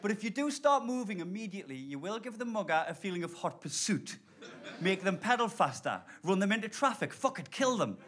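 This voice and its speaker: High, lively Geordie comedian on stage. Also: male voice, fairly quick. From Blyth, northeast England. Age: 30s. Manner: animated, swearing, laughing storyteller. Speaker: stand-up comedian. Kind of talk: stand-up set. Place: live comedy club. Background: audience laughter.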